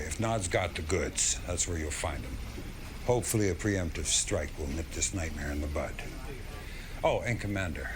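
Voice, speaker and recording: A man's voice: low voice, background noise. An actor playing a grave, seasoned general in a game cutscene, briefing his commander with direct authority. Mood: grave, confidential.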